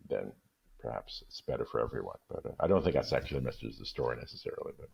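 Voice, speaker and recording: A man, a guest reader on a radio discussion show, who talks haltingly with many restarts. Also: rumbling low, vocal fry, husky, muffled recording.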